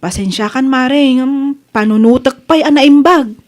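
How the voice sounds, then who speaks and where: A woman's voice: high voice, a little slow. A woman playing a modest, cautious village housewife, polite and hesitant, with a Filipino Ilocano accent in a radio comedy drama. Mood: reluctant.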